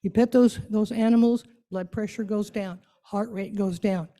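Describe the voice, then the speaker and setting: Woman with a mellow voice, informal speaker. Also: medium pitch, fairly even intonation, normal speed. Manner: playful, coaxing, conversational. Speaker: guest speaker leading an interactive church talk. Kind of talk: informal talk to a congregation.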